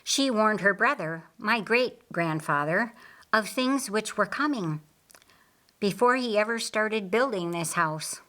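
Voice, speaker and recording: A woman voicing a kindly, reflective master of a house in an audiobook story, earnest and deliberate. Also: mid-range voice, animated, a bit bright. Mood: earnest, recounting.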